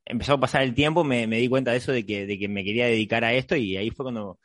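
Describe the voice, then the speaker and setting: Halting, conversational middle-aged man, drily recorded. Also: mid-range voice, dry recording. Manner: halting, rambling, repeats phrase starts. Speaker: host, marine mammal trainer. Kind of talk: casual introductory chat.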